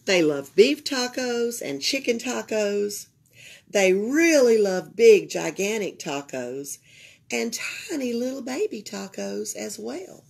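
Library storyteller: older woman, Southern US accent, creaky raspy voice, leisurely expressive read-aloud for children. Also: medium pitch. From Alabama, United States. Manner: expressive, pausing to comment to young listeners. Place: indoors at a library table.

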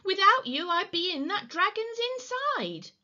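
A woman voicing an excitable, friendly witch from a children's storybook. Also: extremely high pitch, measured pace, dull audio, voice pushed up, heavily acted. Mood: grateful, relieved.

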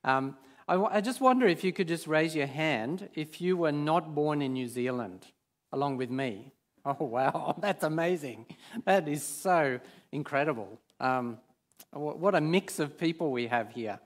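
A man in his fifties, born in Sweden with Swedish as his first language, preaching on a large church stage in a relaxed, hesitant way full of fillers. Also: a little high, a little slow. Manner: hesitant, many fillers and restarts. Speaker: guest preacher. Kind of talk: informal sermon.

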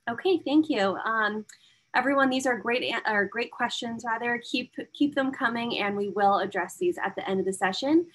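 A woman's voice: a little high, moderate pace, a bit bright. A young woman hosting a virtual open house from a home room on a webcam, upbeat, with frequent ums. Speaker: event host. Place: home room with framed pictures. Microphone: laptop webcam mic.

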